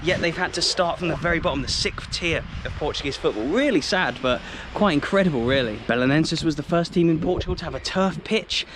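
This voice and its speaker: An English man narrating his football travel vlog to a handheld camera, eager and full of exclamations. His voice sits a little high. Faint outdoor noise runs under the recording. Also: animated, a little fast, hardly any pauses, fairly bright tone. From England. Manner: enthusiastic, exclaims often. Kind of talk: excitable walking vlog to camera.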